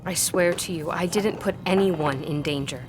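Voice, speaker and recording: A woman's voice: fairly low pitch, normal speed, music in the background, close to an everyday voice, low-quality recording. Woman acting a guarded, earnest female scholar. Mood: hesitant confession. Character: guarded, loyal female scholar hiding a secret. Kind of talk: audio drama acting.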